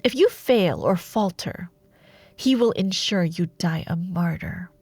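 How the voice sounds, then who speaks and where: A woman playing a seductive, ruthless female dark warlord in a fantasy audio roleplay, speaking deliberately with silky menace and breathy murmurs. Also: lowish voice, expressive, no echo, exaggerated voice. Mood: mocking, coolly scheming. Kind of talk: dramatic fantasy audio roleplay monologue, ASMR.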